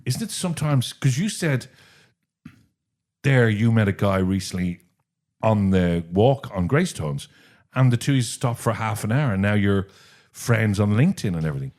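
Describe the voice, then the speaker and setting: A man with an Irish accent co-hosting a podcast, telling stories in an animated, chatty way. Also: lowish voice, normal speed. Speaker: podcast co-host. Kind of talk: casual podcast chat.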